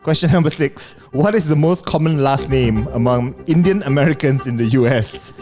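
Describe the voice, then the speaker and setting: Teasing Malaysian-accented co-host. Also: male voice, medium pitch, normal speed, music in the background. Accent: Malaysian English. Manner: teasing, crude, jokey. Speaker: podcast co-host. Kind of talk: casual podcast banter.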